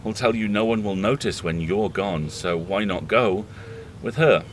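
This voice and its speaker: Low-voiced, reflective horror host, played by a man. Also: a little slow, a little hiss or hum, lightly acted. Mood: somber, ominous. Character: weary, reflective horror host speaking intimately to listeners. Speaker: horror podcast host playing himself. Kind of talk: dramatic horror audio drama monologue.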